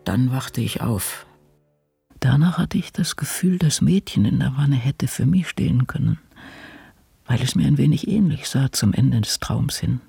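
Brooding old crime writer diary voice, really raspy and creaky, radio play. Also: male voice, medium pitch, animated, measured pace, mellow, faint music behind, full character voice. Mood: haunted. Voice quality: gravelly, husky. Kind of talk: literary radio drama, diary reading.

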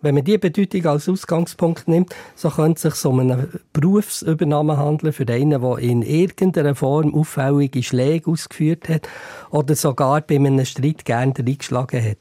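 Swiss german guy, scholarly expert, mellow voice, measured n a bit hesitant, dry sound. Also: a little high, dry recording. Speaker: family-name expert guest. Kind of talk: explanatory interview answers.

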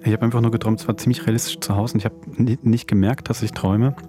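A man's voice: on the low side, level tone, rapid-fire, music in the background. A man hosting a podcast in a casual, conversational style. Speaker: podcast host and producer.

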